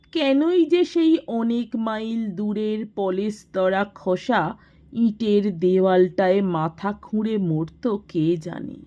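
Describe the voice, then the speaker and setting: A woman narrating a literary story in a measured, expressive manner, in a slightly dull recording. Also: mid-range voice.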